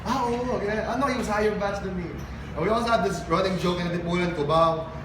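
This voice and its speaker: A Filipino man with a high-pitched voice performs spoken word at a live event, mixing poetry with casual stage talk. The recording is distant and roomy, with clear background noise. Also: fast. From Philippines. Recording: roomy, distant mic, noisy live venue.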